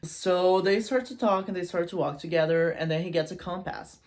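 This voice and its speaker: A woman casually retelling and analysing a story in an informal, animated storytelling manner. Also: lowish voice, normal speed. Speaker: video essayist and book reviewer.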